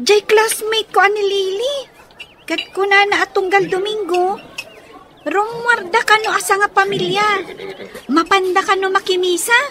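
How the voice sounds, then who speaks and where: A little girl in a radio drama, anxious and naive. Her piping voice is extremely high and swoops around with childlike questions, over clear background noise. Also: exaggerated voice. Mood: wistful.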